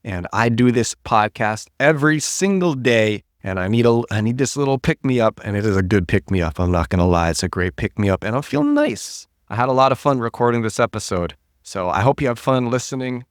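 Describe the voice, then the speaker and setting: Male podcast host, recovering from a cold, low husky delivery, dry close sound, animated rants with restarts. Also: brisk, few pauses, no room sound. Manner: animated, restarts and repeats words. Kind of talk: solo podcast monologue.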